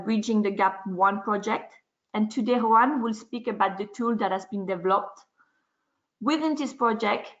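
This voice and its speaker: A woman moderating an online webinar, talking quickly with frequent fillers as she introduces the program and speakers. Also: medium pitch. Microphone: video call. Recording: dull lo-fi call audio.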